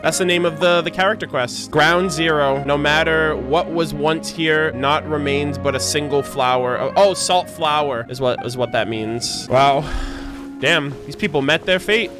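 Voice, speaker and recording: A man with a fairly high voice streaming a video game. He comments casually in slangy, sweary reactions, with game music clearly audible behind him. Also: normal speed. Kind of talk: casual live gameplay commentary.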